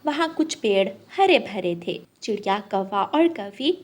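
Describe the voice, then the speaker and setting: A woman's voice: medium pitch, expressive, unhurried, darkish tone. A woman narrating a scripted story for children.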